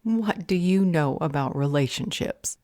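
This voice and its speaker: A woman's voice: sits low, lively intonation, unhurried, mellow, dry recording, clean studio sound. A woman playing a guarded, resolute character in an audio roleplay, speaking in a firm, serious way. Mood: curt.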